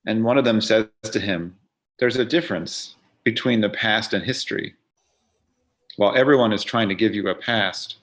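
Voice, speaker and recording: A man's voice: on the low side, fairly bright tone. A man interviewing an author, asking long, winding questions with restarts.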